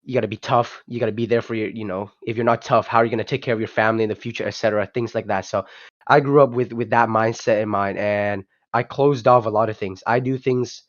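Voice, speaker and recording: A man's voice: on the low side, steady tone, fast talker, no echo. A young man, talking loosely as a guest on an online call. He hesitates and restarts often, leaning on fillers. The sound is dry and dull, with no room around it. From Vietnam. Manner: hesitant, many restarts and "you know" fillers. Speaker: guest, project founder. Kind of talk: casual podcast interview.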